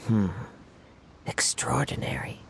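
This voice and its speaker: An actor playing a calm, courteous young wandering samurai in a game, speaking in a formal, measured way with soft whispery moments. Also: male voice, mid-range voice, vocal fry, background noise. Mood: musing. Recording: game cutscene with music behind.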